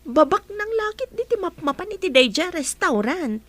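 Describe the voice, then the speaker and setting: A woman's voice: very high voice, sing-song, normal speed, a bit bright, full character voice. A woman with a Filipino accent playing a giggly, boy-crazy young hotel trainee in an Ilocano radio comedy drama. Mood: chatty.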